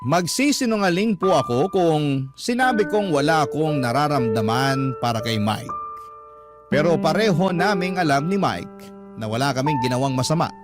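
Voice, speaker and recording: A man narrates a teacher's first-person confession in a somewhat high voice. The delivery is measured and reflective, in radio drama letter style. Loud music runs behind the words. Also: animated, lightly acted. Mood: reflective, regretful. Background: loud background music.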